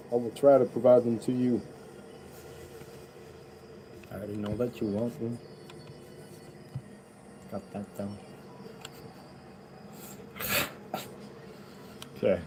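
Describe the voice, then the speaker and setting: A man's voice: mid-range voice, dark tone, a little hiss or hum, low-quality recording. A man, a rapper, chatting casually and ramblingly to his viewers, loose and offhand.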